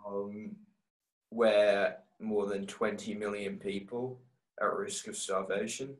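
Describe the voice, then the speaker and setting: A man reciting a memorised text haltingly as a participant on a video call with roomy sound. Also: lowish voice, flat delivery.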